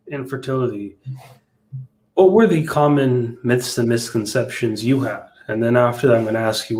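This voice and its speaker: A man's voice: medium pitch, rough recording. A man hosting a conversational live interview. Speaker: interview host.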